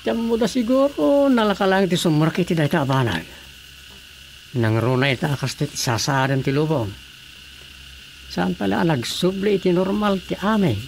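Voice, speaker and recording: An everyday Ilocano husband in a radio drama. He speaks at a slightly high pitch, with clearly audible night crickets and noise around him. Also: animated, moderate pace. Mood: conversational. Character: adult Filipino husband, everyday family man. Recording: noisy track with chirping night crickets.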